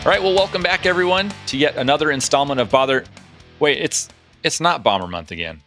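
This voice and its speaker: A man's voice: a little high, expressive, brisk, a bit bright, faint music behind. A man cohosting an aviation podcast, chatty and upbeat, with a few jokes between topics. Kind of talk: podcast monologue and interview.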